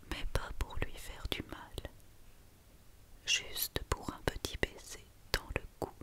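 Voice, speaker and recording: A woman reads a children's story entirely in a whisper, in ASMR style. Her delivery is very slow, gentle and soothing, with a breathy, bright edge to every word.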